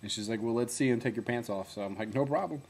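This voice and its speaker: A young man with a deep voice that sometimes swallows his words, telling a chatty story in a casual video diary with fillers and self-corrections. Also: quick pace, a little mellow, slightly rough. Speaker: former reality show contestant.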